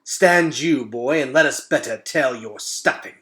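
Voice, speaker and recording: A man's voice: medium pitch, measured pace, sharp tone. A man reading a children's storybook, voicing a hungry, haggling six-foot rat with emphatic, archaic, mock-polite speech. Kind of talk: children's storybook read-aloud.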